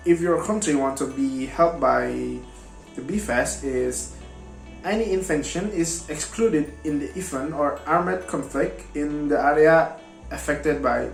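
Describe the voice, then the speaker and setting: A young man whose first language is Indonesian gives a class talk, pausing often on fillers and reaching for his words. He speaks slowly at a medium pitch. The voice sits in an echoey room with faint guitar music under it. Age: young adult. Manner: hesitant, many fillers, halting non-native grammar. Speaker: university student presenting. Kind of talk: class presentation.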